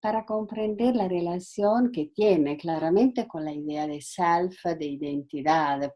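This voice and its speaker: Italian-native woman guest speaker, slow halting delivery, mellow tone, video-call sound. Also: fairly low pitch. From Italy. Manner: hesitant, halting with frequent fillers and restarts. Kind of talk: online talk.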